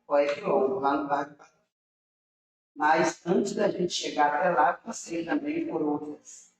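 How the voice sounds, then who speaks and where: A woman's voice: low voice, takes it slowly. A woman from interior Bahia, a city councilor guest telling her story to young people in an earnest, rambling way. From interior of Bahia, Brazil. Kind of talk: casual storytelling to a young audience. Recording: very echoey room, far from mic.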